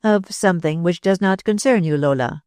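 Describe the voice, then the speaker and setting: A woman voicing a calm, curt young Englishman in a read-aloud story. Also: fairly low pitch, moderate pace, smooth, no echo, clean studio sound, natural voice. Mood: cool. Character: cool-headed young Englishman.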